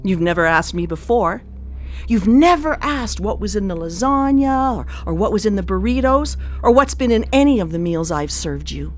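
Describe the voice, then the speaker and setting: An actress in a radio play playing a controlling, composed cannibal cook who dotes menacingly on family. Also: female voice, medium pitch, expressive, moderate pace, soft background music, natural voice. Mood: fervent, controlling. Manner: composed, coy, domineering. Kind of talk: live radio play acting.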